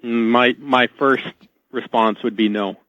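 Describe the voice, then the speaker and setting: Mid-pitched man on muffled radio line. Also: fairly even intonation, slow, fairly bright tone, no room sound. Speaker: radio guest, technical expert. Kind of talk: interview answers. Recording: dull dry radio line.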